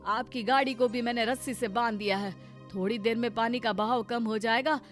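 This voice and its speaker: A woman voicing a caring, brave village husband in an animated moral story, earnest and protective. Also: a little high, brisk, soft background music, close to an everyday voice. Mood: reassuring.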